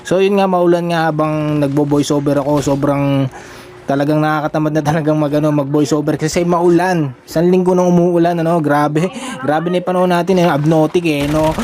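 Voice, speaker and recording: A man's voice: a little high, little pitch movement, brisk, darkish tone, a little hiss or hum. A young man from the Philippines, casually rambling through a gameplay voice-over and calling his viewers buddies. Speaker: mobile gaming content creator.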